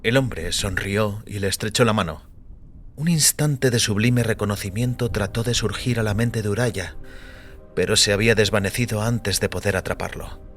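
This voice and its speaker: Low-voiced man narrating dark fiction. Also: moderate pace, soft background music. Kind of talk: scripted dramatic fiction narration.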